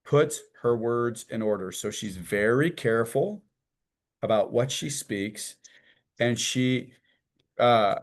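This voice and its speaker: A man's voice: medium pitch, takes it slowly. A man teaching an informal church class, talking in a folksy, conversational way and acting out little quotes and images as he goes.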